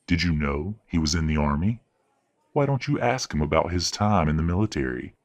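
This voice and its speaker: A man playing a condescending, manipulative werewolf father in an audio roleplay, speaking in a measured, patronizing and coldly genteel way. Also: big pitch swings. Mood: smug, needling. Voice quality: deep, husky. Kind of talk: audio roleplay drama acting.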